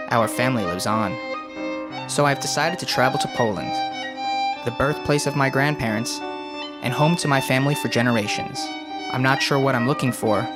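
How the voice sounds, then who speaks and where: Young guy doing earnest documentary voiceover, strong violin music behind. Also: medium pitch. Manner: measured, earnest. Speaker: documentary narrator, filmmaker. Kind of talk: scripted reflective narration.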